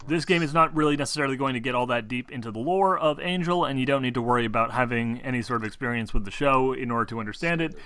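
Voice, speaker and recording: A man with a bright, forward voice, recorded close and dry. He runs a tabletop roleplaying show, explaining things in long, casual sentences. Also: medium pitch, very fast, few pauses, clean tone, no room sound. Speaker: game master and host of an actual-play show. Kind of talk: casual tabletop game introduction.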